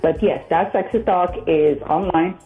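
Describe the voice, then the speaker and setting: A woman's voice: on the low side, fairly even intonation, a little slow. A woman from Nigeria, a matchmaker, chatting as a guest in a radio interview and laughing often. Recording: tinny phone line.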